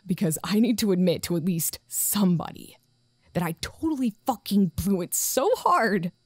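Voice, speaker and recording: A woman's voice: medium pitch, animated, normal speed, darkish tone, no echo, natural voice. An actress playing an anxious, dutiful site director in an audio drama, formal but faltering and apologetic as she reports. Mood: nervous, confessing. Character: anxious, dutiful female acting site director, self-doubting.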